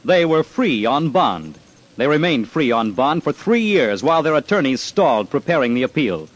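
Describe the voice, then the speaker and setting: A man's voice: medium pitch, big pitch swings, moderate pace, poor audio. A man narrating an old-time radio crime documentary in a dramatic, punchy, emphatic scripted style. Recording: old radio with loud music.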